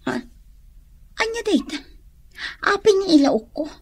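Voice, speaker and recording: A woman's voice: very high voice, big pitch swings, unhurried, dry recording, exaggerated voice. An actress playing an obliging but scheming ferry worker woman in a radio drama. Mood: hushed, conspiring.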